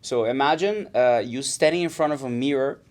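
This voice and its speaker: A man from Aruba who co-hosts a podcast, speaking in a thoughtful, soft-spoken way and searching for his words. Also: medium pitch, brisk. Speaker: podcast co-host. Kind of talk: reflective casual chat.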